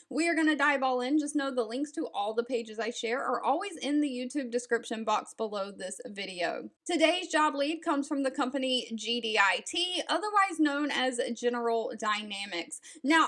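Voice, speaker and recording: A woman with an American accent and a high voice hosts an online video. She speaks straight to her viewers in an upbeat way, laying out details like a practised presenter. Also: a bit bright. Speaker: host of a job-lead video channel. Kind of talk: scripted-sounding presenter talk to viewers.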